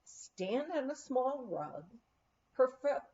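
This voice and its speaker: A woman reads a memoir aloud in an expressive, measured storytelling manner, on a somewhat dull recording. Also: high voice, slight background noise. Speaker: audiobook reader.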